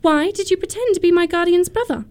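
Woman doing a sweet prim young society girl, really high voice, earnest, radio play style. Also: fast talker, darkish tone. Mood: earnest, smitten. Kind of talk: staged radio comedy of manners.

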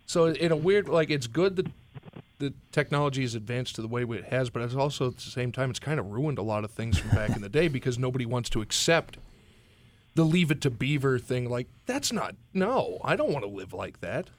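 Fast-talking man telling a story. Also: medium pitch, lively intonation. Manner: rambling storyteller with quoted asides. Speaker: podcast guest, former teacher. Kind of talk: casual panel chat.

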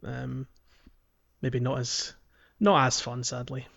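A man's voice: mid-range voice, level tone, slow pace, vocal fry, a bit bright, highs cut off. A man co-hosting a casual podcast, explaining a video game in a hesitant, piece-by-piece way. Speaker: podcast co-host. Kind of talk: casual game walkthrough chat. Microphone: podcast voice recording.

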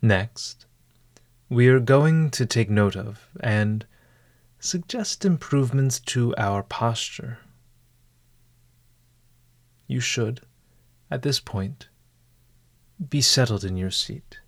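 A man narrating a scripted guided meditation in a formal, measured and eloquent manner. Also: mid-range voice, no echo.

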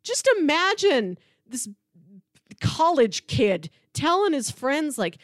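A woman's voice: sits high, very animated, slow pace, no room sound, studio quality. A woman co-hosting a podcast in casual, enthusiastic conversation. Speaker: podcast co-host. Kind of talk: casual chat.

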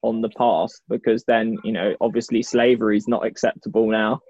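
A man's voice: lowish voice, little pitch movement, brisk, darkish tone, no echo, lo-fi sound. Dry-sounding man in casual chat. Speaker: podcast participant.

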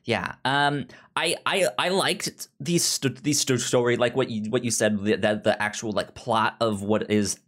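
A podcast host reviewing a book in casual chat, speaking haltingly and often stammering over repeated syllables. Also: medium pitch, a bit bright.

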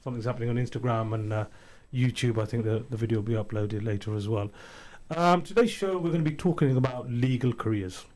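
Guy hosting a radio phone-in from the studio, chatty, lots of ums, mid-pitched voice. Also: quick pace, few pauses, a little mellow. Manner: chatty, with frequent ums and restarts. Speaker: radio show host.

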